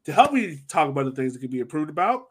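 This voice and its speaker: Male podcast host, fairly high voice, very animated delivery, playful sports chat. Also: fast talker, breathy edge. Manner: playful, addresses guests as sir.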